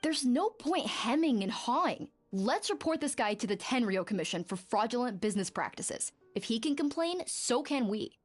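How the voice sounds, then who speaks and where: A woman's voice: fairly high pitch, big pitch swings, normal speed, few pauses, soft background music. A woman playing a blunt, feisty young bathhouse worker in a video game, brash and impatient. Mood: insistent.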